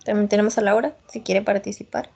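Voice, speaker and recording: A woman from northeastern Colombia with a Colombian accent, an English teacher on a video call who gently corrects students and mixes Spanish with English. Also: medium pitch, level tone, quick pace, vocal fry, slight background noise. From Norte de Santander, Colombia. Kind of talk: online English class.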